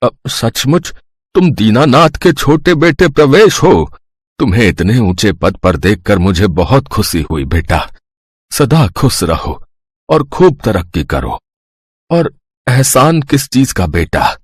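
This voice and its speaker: A man's voice: mid-range voice, sing-song, takes it slowly, husky, dry recording, clean studio sound, pitched below the performer's own voice, full character voice. A man voicing a poor, kindly old village butcher, humble and pleading, in a cartoon story. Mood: happy, affectionate. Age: older. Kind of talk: Hindi cartoon story dialogue.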